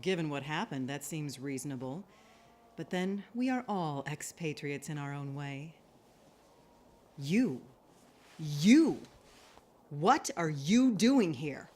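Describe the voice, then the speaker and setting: A woman playing a suspicious, world-weary shopkeeper with a husky voice and pointed, dramatic delivery. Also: sits low, animated, slow pace, pauses often, darkish tone, heavily acted. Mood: wary surprise.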